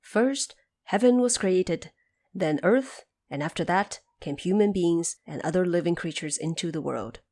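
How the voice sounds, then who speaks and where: A woman narrating a mythic tale in a scripted audio drama style, with measured, explanatory phrasing. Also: fairly low pitch, animated, darkish tone.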